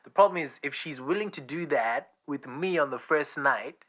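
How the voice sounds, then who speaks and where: Best friend in audio drama: young Zimbabwean man, cocky, argumentative, forceful bright delivery. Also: fairly high pitch, moderate pace. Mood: defensive. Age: young adult. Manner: emphatic, repetitive, argumentative. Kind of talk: naturalistic podcast audio drama.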